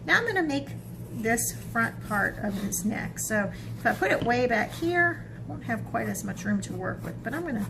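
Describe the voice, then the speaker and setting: A woman with a somewhat high voice guides children through a drawing step by step. She is encouraging and informal, often thinking aloud as she works. Clear ambient noise runs behind her speech. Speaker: children's art teacher. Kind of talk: step-by-step drawing lesson with a storybook read-aloud.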